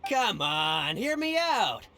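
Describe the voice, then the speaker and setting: A man playing a boastful, wheedling fruit peddler in a video game, pushy and animated. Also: high voice, takes it slowly, heavily acted. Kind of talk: video game cutscene dialogue.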